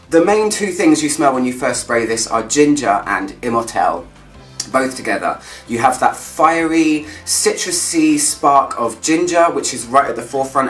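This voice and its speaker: A man's voice: mid-range voice, a bit bright. A man giving a casual vlog-style review, chatty and descriptive, thinking aloud as he goes. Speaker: fragrance reviewer. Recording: echoey room, distant mic, faint music.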